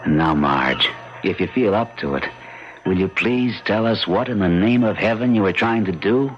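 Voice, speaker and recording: A man's voice: fairly low pitch, lively intonation, moderate pace, lightly acted. An actor playing a protective, wry father in an old-time radio drama, with emphatic delivery. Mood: exasperated. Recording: muffled old radio broadcast, music behind.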